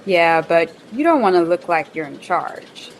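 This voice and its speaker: An actress playing a bubbly, bossy office manager in a radio play, talking in a chirpy sing-song way. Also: female voice, lowish voice, animated, measured pace, slight background noise, poor audio. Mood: upbeat.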